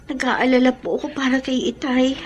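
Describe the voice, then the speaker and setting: A woman's voice: sits high, fairly even intonation, brisk, slight background noise, natural voice. A woman playing a respectful, concerned young daughter in a questioning Filipino radio drama delivery. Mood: worried, curious.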